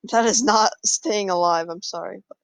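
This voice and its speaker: A man's voice: sits high, unhurried, vocal fry, clear, resonant tone, dry recording. A man casually talking through his moves in a card game, thinking aloud with frequent fillers. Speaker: gameplay commentator.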